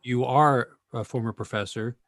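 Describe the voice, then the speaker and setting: A man's voice: medium pitch, fairly even intonation, moderate pace, fairly bright tone, dry recording, clean studio sound. A man moderating a discussion, asking questions in a conversational, interviewing style.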